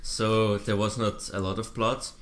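A man's voice: lowish voice, level tone, a little slow, slight background noise. A man in his twenties from Austria, speaking English with an Austrian German accent as a podcast guest, hesitant and full of fillers. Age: 20s. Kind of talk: casual chat.

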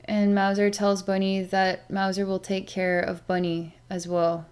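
Middle-aged female animal communicator, medium pitch, meditative and halting, narrating a session as it unfolds. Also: monotone, darkish tone, clean tone. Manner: halting, meditative, with long pauses and sighs. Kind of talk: slow narration of a psychic session with pets, thinking aloud. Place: small plain room indoors.

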